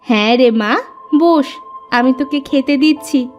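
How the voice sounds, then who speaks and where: A kindly village mother in a cartoon tale, played by a woman. Her voice is fairly high and motherly, patient with her child. Soft music sits behind it. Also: a little slow, muffled recording. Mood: cheerful. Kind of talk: cartoon story acting.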